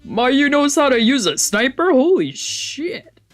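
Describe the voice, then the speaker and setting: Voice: sits high, sing-song, slow pace, soft background music. A streamer of a visual novel reading the text aloud and adding casual, wry asides.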